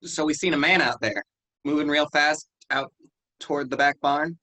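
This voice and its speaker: A man's voice: a little high, fairly even intonation, moderate pace, pushed and bright, poor audio. A man playing a timid, curious farm boy in a staged reading. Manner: earnest, timid.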